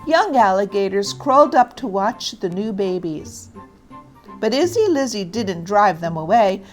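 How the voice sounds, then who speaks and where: A woman narrating a children's story aloud in an expressive, deliberate read-aloud style. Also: mid-range voice, soft background music.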